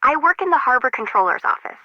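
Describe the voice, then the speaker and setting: A woman's voice: high-pitched, sing-song, fast, no echo. A young woman playing a chatty, quirky intern in a video game, breezy and wry, heard over a radio. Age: young adult. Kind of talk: casual video game voice acting. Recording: muffled radio-like sound, dry.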